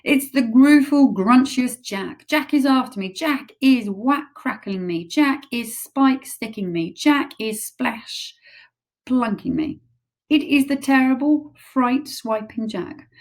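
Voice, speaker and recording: A woman's voice: a little high, expressive, slow, voice pushed up. A woman voicing a huge, brutish male giant who screams and begs in terror during a nightmare. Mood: terrified. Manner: screaming, bellowing, pleading. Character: huge child-eating male giant, terrified, thrashing.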